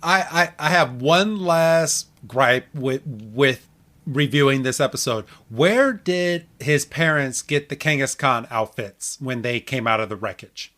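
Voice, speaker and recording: A man's voice: mid-range voice, expressive, a little slow, a bit bright. A man on a podcast panel, casually recapping and joking along. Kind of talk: casual episode recap discussion.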